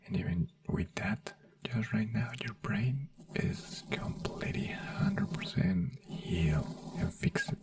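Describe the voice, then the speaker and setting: A non-native English speaker in a soft-spoken ASMR doctor roleplay, whispering breathily in a gentle, reassuring manner. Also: medium pitch, sing-song, slow, gravelly, distant mic. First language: not English. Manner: gentle, reassuring personal attention. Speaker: ASMR performer playing a brain doctor.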